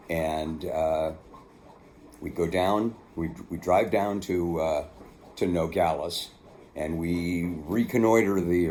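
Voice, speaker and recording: Low-voiced older man, dark and mellow. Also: a little hiss or hum. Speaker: author narrating own memoir. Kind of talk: casual storytelling, then reading aloud from a book.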